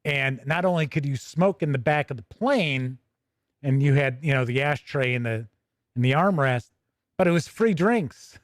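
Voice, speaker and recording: A man's voice: mid-range voice, expressive, normal speed, no room sound. Chatty American man with a close dry sound. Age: middle-aged. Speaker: podcast host. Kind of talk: casual rambling chat.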